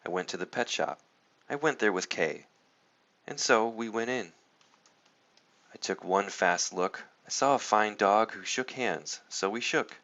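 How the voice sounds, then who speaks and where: A man reading a children's storybook aloud in an expressive, playful, rhythmic way. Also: fairly low pitch, measured pace, highs cut off. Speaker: storybook reader.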